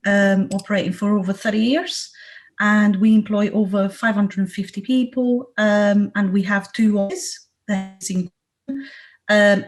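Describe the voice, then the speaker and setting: A woman's voice: medium pitch, a little mellow, a little hiss or hum. Woman company rep, hesitant delivery full of ums, video-call webinar. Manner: halting, many fillers. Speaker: company representative. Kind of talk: webinar presentation.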